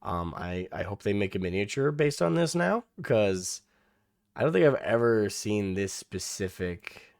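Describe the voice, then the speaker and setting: Hoarse low-voiced man rambling. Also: very animated, unhurried, dry recording, studio quality. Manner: rambling, frequent restarts and fillers, swears. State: hoarse, losing his voice. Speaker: reaction video host. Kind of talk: casual reaction commentary.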